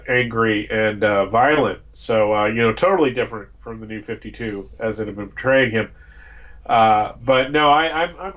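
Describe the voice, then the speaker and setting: A man's voice: mid-range voice, a bit bright, dull audio. An American man co-hosting a casual live fan chat, his voice congested from a cold. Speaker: co-host.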